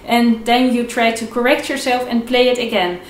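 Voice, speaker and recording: A woman's voice: a little high, little pitch movement, normal speed, slight background noise. A young woman giving an informal, step-by-step instructional lesson as a violin teacher, repeating key points in an encouraging way. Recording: echoey room. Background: violin playing between phrases.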